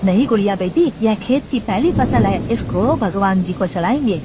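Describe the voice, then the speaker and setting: A woman's voice: mid-range voice, animated, normal speed, close to an everyday voice. A woman voicing an earnest, anxious, hardworking male farmer bird and young father in a cartoon story, with storm noise. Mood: tender. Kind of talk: Hindi cartoon story voice acting. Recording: noisy with rain and thunder.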